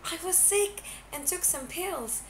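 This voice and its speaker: A woman's voice: very high voice, lively intonation, roomy sound, voice pushed up, heavily acted. A woman voicing a distraught, apologetic young man in a fan fiction reading, stammering and pleading as if near sobs, on a noisy amateur recording. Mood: pleading, sobbing. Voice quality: sobbing, breathy pleading. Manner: stammering, halting.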